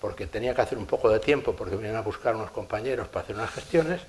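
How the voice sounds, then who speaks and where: A man with a Spanish accent from Spain giving a conference lecture, telling lively anecdotes and chuckling at his own stories. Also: lowish voice, very fast, a little hiss or hum. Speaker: engineer giving a conference talk. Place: lecture hall.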